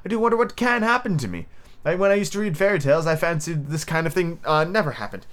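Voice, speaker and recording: Man as storybook girl, curious, polite, lively lilt, talking to herself. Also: on the high side, fast talker. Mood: wistful, musing. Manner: musing, chatting to herself. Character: young girl, curious, polite, self-pondering.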